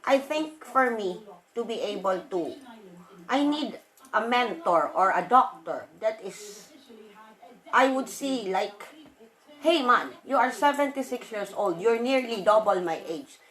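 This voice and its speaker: A woman in her late thirties with a loud voice, talking in an emphatic, emotional and rambling way in a casual vlog. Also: a little high, lively intonation, unhurried. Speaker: vlogger.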